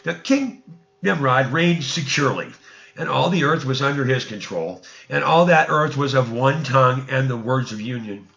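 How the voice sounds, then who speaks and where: A dull, lo-fi recording of a man with a mid-pitched voice reading old scripture aloud. He narrates deliberately, like a storyteller, and now and then trips over a word. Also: vocal fry, a bit bright. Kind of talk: read-aloud narrative of an ancient religious text.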